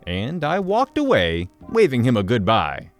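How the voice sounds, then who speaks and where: Animated man telling a story. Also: mid-range voice, measured pace. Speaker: story narrator. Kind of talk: reading an online story aloud. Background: soft background music.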